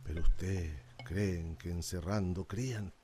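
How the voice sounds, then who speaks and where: A man's voice: deep voice, steady tone, measured pace, dark tone. An actor playing a weary, aging native fugitive in a radio drama, resigned, proud and plainspoken, addressing his listener respectfully but defiantly. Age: older.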